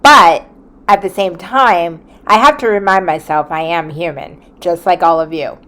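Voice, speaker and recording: A woman in her forties, a content creator, talking in an earnest, reflective way from a quiet room at home, with small hesitations. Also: on the low side, unhurried. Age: 40s. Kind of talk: reflective vlog talk.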